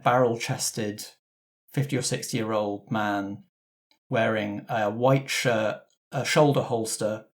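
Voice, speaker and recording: A man improvising narration as the game master of a tabletop roleplaying game. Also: medium pitch.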